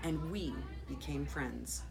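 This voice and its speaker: An American woman, a school principal, reading a children's picture book aloud expressively, with dramatic pauses and drawn-out words. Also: low voice, creaky, over loud music, over a noisy crowd. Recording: faint background noise.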